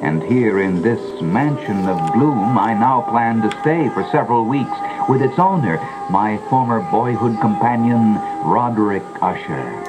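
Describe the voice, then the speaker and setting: An actor playing a gloomy, uneasy gentleman who narrates a gothic audio drama in a brooding, theatrical manner, on an old cassette dub. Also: expressive, a little slow, few pauses, low-quality recording. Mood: brooding dread. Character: gloomy gentleman narrator, uneasy boyhood friend visiting a decaying mansion. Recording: old cassette dub re-recorded through boombox mics. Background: music.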